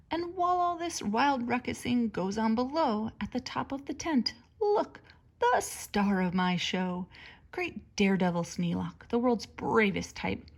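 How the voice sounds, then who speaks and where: A woman reading a children's story aloud like a teacher, with expressive, theatrical emphasis and a breathy edge to her voice. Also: mid-range voice. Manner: dramatic, theatrical emphasis on words.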